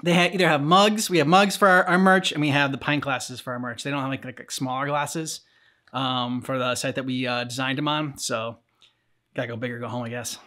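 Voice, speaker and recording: A man's voice: mid-range voice, steady tone, fairly quick, pushed and bright. A man in his thirties chatting casually as a reaction host on a couch indoors. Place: living room couch, indoors.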